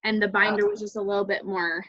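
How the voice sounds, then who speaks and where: Casual female co-organizer, medium pitch, filler-heavy informal talk, slightly lo-fi call audio. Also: flat delivery, fast talker, pushed and bright. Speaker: rehearsal co-organizer. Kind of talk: casual chat. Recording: dull lo-fi video call.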